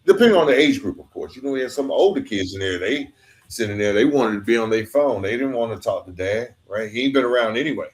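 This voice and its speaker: A man's voice: medium pitch, animated, quick pace. A man hosting a conversational interview, reflective and informal, sharing his own anecdotes and often checking in with a tag question. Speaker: podcast host.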